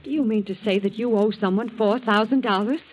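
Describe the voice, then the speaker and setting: An actress playing a bitter, ailing rich wife who scolds sharply in a 1940s radio drama. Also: female voice, mid-range voice, a little fast, slight background noise, lightly acted. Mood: weary suspicion. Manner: sharp, scornful, accusing. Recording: old 1940s radio broadcast.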